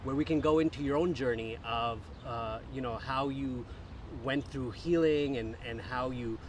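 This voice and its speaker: Young man, podcast host, casual and admiring, middle pitch, faint road noise. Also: normal speed. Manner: warm, admiring, loose with fillers. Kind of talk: casual interview conversation.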